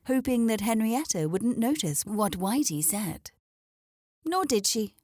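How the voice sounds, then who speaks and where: A woman reading a scripted children's story aloud as an expressive narrator. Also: medium pitch, no room sound, clean studio sound.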